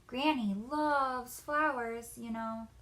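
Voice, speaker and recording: A woman voicing a sly, greedy male wolf from a children's storybook, smooth-talking and falsely courteous. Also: on the high side, steady tone, takes it slowly, fairly bright tone, low-quality recording. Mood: sly, scheming.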